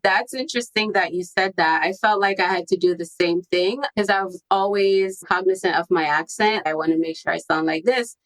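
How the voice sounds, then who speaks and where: A woman with a slightly low voice speaks as an interview guest. She tells personal stories about herself in a candid, reflective manner. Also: moderate pace, few pauses, fairly bright tone, poor audio. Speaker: podcast guest, copywriting strategist.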